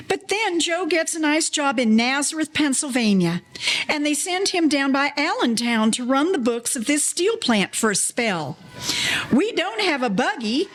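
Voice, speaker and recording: A woman playing a frail, earnest young 1940s mother in an old-time radio drama. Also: sits high.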